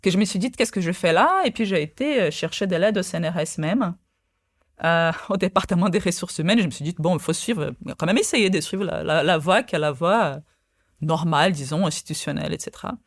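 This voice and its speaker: A woman's voice: fairly low pitch, fairly quick, dry recording, studio quality. Hesitant woman. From Brazil. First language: Portuguese. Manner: hesitant, many fillers and restarts. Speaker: guest, quantum physicist. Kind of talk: podcast interview.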